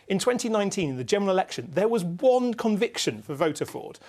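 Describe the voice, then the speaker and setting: Male panel guest, fairly high voice, insistent campaigning delivery. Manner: insistent, campaigning, rhetorical lists. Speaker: political guest. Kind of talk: studio debate.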